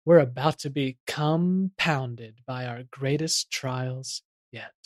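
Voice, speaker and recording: A man's voice: medium pitch, slow pace, pitched below the performer's own voice, close to an everyday voice. A man playing a pompous, theatrical narrator performing a homemade comic audio play. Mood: portentous. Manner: grandiose, theatrical. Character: pompous, melodramatic play narrator addressing his townsfolk. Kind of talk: comic amateur audio drama.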